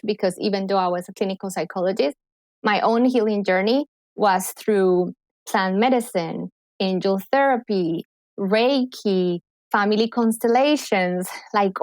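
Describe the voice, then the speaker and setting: Colombian woman, excited and animated, dry close sound. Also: mid-range voice, no echo. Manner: enthusiastic, animated. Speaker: guest, clinical psychologist and healer. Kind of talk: casual podcast conversation.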